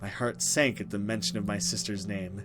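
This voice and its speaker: A man's voice: fairly low pitch, steady tone, moderate pace, over loud music. A man hosting a gaming video, switching between reading game text aloud dramatically and commenting casually.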